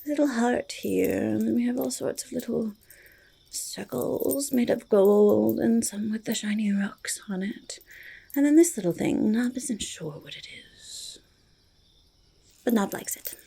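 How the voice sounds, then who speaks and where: A woman voicing a curious, friendly forest goblin in a soft ASMR roleplay, speaking haltingly in breathy whispers and creaky murmurs, repeating words. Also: fairly high pitch, full character voice. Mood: pleased, eager. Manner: halting, third-person speech with doubled words. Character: curious, eager, friendly forest goblin who hoards treasure.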